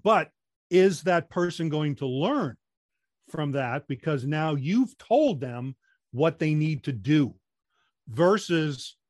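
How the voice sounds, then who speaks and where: A man with a voice that projects well, explaining training methods in a measured way and often restarting his sentences in a relaxed interview. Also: a little high, no room sound, studio quality. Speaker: guest, corporate trainer. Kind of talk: conversational interview.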